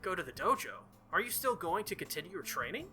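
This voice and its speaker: A man's voice: high-pitched, sing-song, quick pace, pushed and bright, faint music behind, exaggerated voice. A man voicing a polite, serious, reserved young swordswoman from a visual novel, with formal wording. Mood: surprised.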